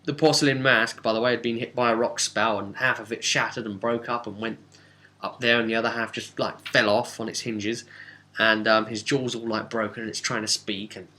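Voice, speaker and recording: A man giving a casual, unscripted recap as a tabletop game master, rambling and animated with hesitant asides. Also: lowish voice, fairly quick.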